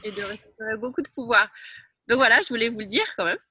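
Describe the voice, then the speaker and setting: Bright-voiced woman speaking frankly. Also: on the high side, quick pace, husky, dry recording, studio quality. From France. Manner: frank, emphatic, conversational. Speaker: Taoist teacher, guest. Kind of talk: reflective interview talk.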